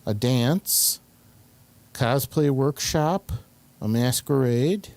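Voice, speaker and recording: A man hosting a casual group chat, halting and often searching for his words. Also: medium pitch, darkish tone. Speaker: host.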